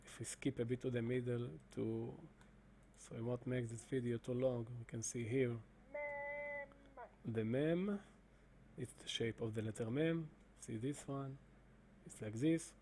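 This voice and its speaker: Halting man with a mellow voice. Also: medium pitch, fairly even intonation, slight background noise. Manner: halting, many fillers and restarts. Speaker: presenter demonstrating software. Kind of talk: screen-recorded explanation.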